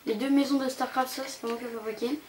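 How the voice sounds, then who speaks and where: A woman's voice: high-pitched, fairly even intonation, racing, darkish tone. A young woman giving a casual, rambling, hesitant commentary as she tours a video game. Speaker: gaming channel host. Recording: amateur home recording with background noise.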